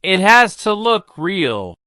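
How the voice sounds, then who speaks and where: A woman's voice: mid-range voice, very animated, slow pace, sharp tone, dry recording, studio quality, voice pushed up, heavily acted. A woman voicing a gallant, reasonable male knight from a storybook, in an agreeable manner.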